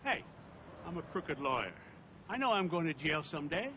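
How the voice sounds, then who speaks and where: Casting: shady film lawyer, fairly high voice, folksy and unbothered, outdoor traffic noise. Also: male voice, big pitch swings, normal speed. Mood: cynical, unbothered. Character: cynical, unbothered crooked male lawyer. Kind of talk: live-action comedy film acting.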